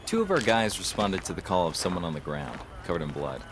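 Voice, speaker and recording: A man's voice: deep voice, big pitch swings, fast talker, background noise. An actor playing a dry-witted male police detective talking through a case with his partner. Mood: matter-of-fact. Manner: dry, wisecracking. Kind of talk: audio drama dialogue.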